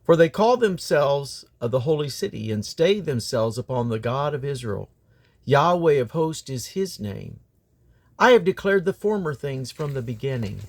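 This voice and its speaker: A man reading the Bible aloud in a solemn, declamatory way, with deliberate phrasing and emphatic stresses. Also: mid-range voice. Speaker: scripture reader.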